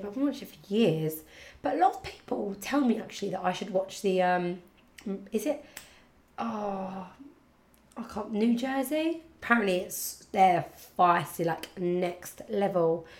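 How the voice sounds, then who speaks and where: A young woman with a husky, congested voice from hay fever, chatting casually and rambling to her podcast listeners. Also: mid-range voice. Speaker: podcast host. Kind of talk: casual chat.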